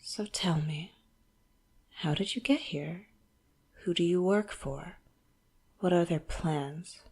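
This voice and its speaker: A woman's voice: fairly low pitch, slightly rough, heavily acted. A woman playing an elegant, condescending villain interrogator in an audio roleplay, speaking in a theatrical, mock-polite, taunting way. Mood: mock-polite, stern. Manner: slow, theatrical, mock-polite, taunting, drops into hushed asides. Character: elegant, wealthy villain boss, coolly menacing and condescending interrogator. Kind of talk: audio roleplay, scripted monologue to the listener.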